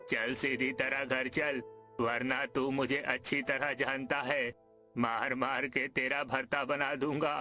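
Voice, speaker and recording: Man acting a slow, piercing village bully. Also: medium pitch, level tone, bright, forceful tone. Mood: angry, threatening. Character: menacing, greedy village bully, an adult man. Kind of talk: Hindi cartoon story acting. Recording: music behind the voice.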